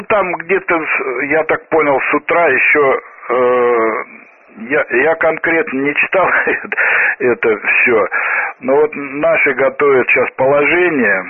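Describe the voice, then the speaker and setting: A man hosting an amateur radio round table in casual, courteous, slightly hesitant talk, his husky voice affected by a cold. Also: mid-range voice. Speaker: amateur radio net host. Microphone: amateur radio transmitter microphone. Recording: phone-like narrowband radio link, roomy echo.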